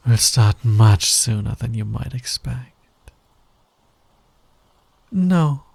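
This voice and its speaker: Man playing a husky, slow-talking flirty villain. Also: medium pitch, darkish tone, dry recording, heavily acted. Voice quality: breathy, husky, often dropping to a whisper. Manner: teasing, slow, deliberate, dominant. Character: flirty, smug male fantasy villain, patient, smitten with hero. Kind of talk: intimate ASMR roleplay acting. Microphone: close microphone, dry sound.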